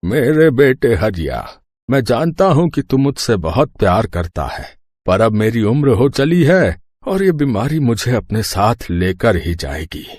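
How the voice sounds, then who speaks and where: A man's voice: medium pitch, very animated, a little slow, a little mellow, dry recording, studio quality. A man playing an ailing elderly father in a scripted story, reading out his farewell words. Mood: tender, solemn.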